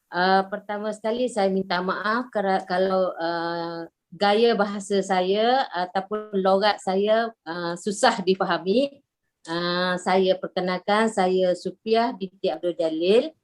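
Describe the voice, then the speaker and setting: A retired Malaysian woman in her sixties speaks very slowly and haltingly, with many fillers. She has a Malaysian Malay accent and a medium voice. She is heard over a video call. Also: medium pitch. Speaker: member introducing herself. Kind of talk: online group video call.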